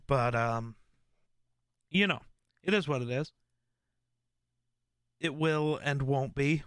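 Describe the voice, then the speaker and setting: Smooth-voiced male streamer, rambling chat. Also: mid-range voice, takes it slowly, no echo, studio quality. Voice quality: relaxed, smooth voice. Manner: rambling, with many fillers and restarts. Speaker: streamer host. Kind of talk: casual live-stream chat.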